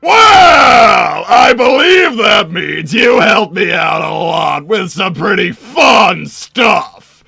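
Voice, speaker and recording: A man's voice: high-pitched, expressive, drawn-out words, gravelly, bright, forceful tone, husky, no echo, shouting, soft background music, exaggerated voice. A man playing a boastful, gloating mad-doctor king in a theatrical cartoon roleplay, proclaiming things with bombast. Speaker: self-crowned king and father.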